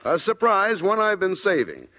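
A man's voice: sits high, wildly swooping pitch, moderate pace, a bit bright, exaggerated voice. An American man acting a harried middle-aged football coach in a 1950s radio comedy, emphatic and prone to stammering. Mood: determined. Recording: dull, band-limited old radio recording.

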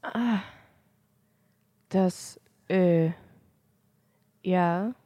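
A woman playing a naive, timid post office customer who speaks awkwardly and haltingly in an amateur audio drama. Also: lowish voice, mellow, no echo.

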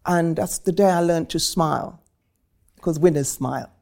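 A woman's voice: sits low, normal speed, gravelly, a little mellow. A woman in her seventies from Trinidad, a guest telling her life story in vivid, rhetorical phrases. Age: 70s. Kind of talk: reflective personal storytelling.

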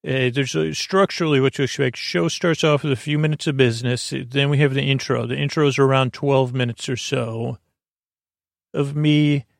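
Gravelly, creaky American man rambling at bedtime. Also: mid-range voice, no echo, studio quality. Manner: meandering, halting, goes off on tangents. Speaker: podcast host. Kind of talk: rambling bedtime monologue to help listeners fall asleep.

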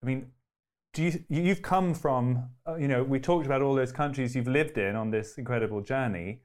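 A man hosts an interview and asks long, earnest questions. He often rephrases mid-sentence while searching for the right words, in an ordinary mid-pitched voice. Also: moderate pace, a little mellow. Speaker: interview host.

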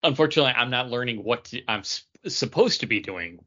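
Hesitant man with a bright, forceful voice. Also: mid-range voice, lively intonation, fast, muffled recording. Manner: hesitant, restarts sentences. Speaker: podcast co-host. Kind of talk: casual chat.

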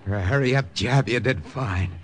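An actor playing a terse, commanding American secret agent in an old radio drama. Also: male voice, mid-range voice, moderate pace, close to an everyday voice. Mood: urgent. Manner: clipped, commanding. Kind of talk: 1950s radio drama acting. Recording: noisy vintage radio drama recording.

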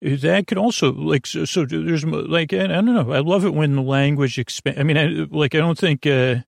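A man hosting a bedtime podcast, rambling softly and unhurriedly, often trailing off and correcting himself. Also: a little high, gravelly, no room sound, clean studio sound. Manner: meandering, halting, self-correcting; soft, unhurried and drowsy. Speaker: podcast host.

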